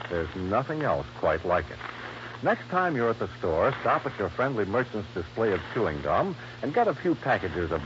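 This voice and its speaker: An American man working as a 1950s radio announcer, reading sponsor copy and credits in a polished, emphatic sales delivery. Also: medium pitch. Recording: noisy, muffled old radio broadcast.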